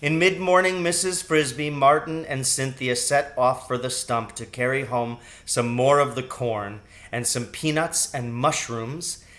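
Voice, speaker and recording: A man's voice: mid-range voice, steady tone, unhurried, hardly any pauses, fairly bright tone. A man reading a children's novel aloud as scripted storybook narration. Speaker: audiobook reader.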